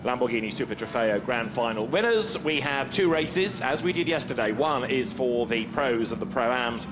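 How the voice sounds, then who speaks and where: A man commentating on a motor race from a commentary booth, explaining the rules in a measured, informative way. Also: medium pitch, animated, background noise.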